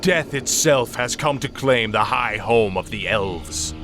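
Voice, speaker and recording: An actor playing a vengeful conqueror proclaiming doom in a game cutscene. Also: male voice, medium pitch, animated, measured pace, music in the background. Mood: menacing. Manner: proclaiming, menacing.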